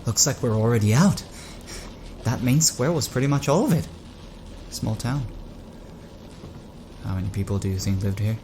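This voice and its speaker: Troubled everyman driver played by a male actor, slightly low male voice, wary and inquisitive, natural delivery for audio drama. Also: animated, normal speed, pauses often, darkish tone, slight background noise, close to an everyday voice, poor audio. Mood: curious. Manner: conversational, wondering, wary. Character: uneasy, questioning man driver, curious and troubled.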